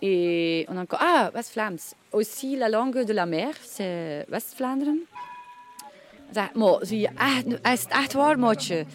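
A woman's voice: mid-range voice, slow pace. A woman with a Ghent Flemish accent, chatting playfully and switching between languages in an interview. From Flanders, Belgium. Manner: lively and playful, laughing.

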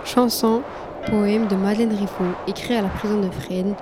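A young woman reads a scripted narration in a clear, careful way. Her pitch stays fairly flat. Music plays clearly underneath. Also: normal speed. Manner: clear, read-aloud delivery.

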